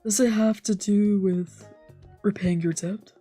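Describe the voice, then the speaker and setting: A woman voicing an emotional, exasperated young man from a visual novel, scolding and pleading in an animated, emphatic way. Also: mid-range voice, measured pace, faint music behind, close to an everyday voice. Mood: concerned, pleading. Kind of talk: expressive read-aloud dub of a visual novel.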